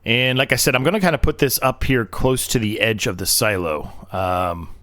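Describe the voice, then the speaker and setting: A man's voice: mid-range voice, normal speed, pushed and bright, dry recording. A man losing his voice, giving casual, rambling commentary on a farming game with lots of fillers and restarts. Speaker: game streamer.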